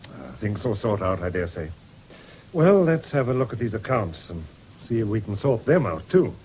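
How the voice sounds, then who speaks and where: An actor with an English accent playing a genial but firm country doctor in a radio drama. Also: male voice, fairly low pitch, very animated, moderate pace, a little mellow, slight background noise.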